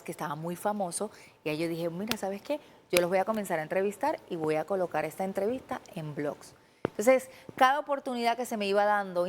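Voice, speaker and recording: A woman's voice: medium pitch, a little hiss or hum. A woman in her thirties with a Puerto Rican accent, a TV journalist telling her story earnestly as a guest in a studio interview. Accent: Puerto Rican Spanish. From Puerto Rico. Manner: earnest, animated, quotes her own past thoughts.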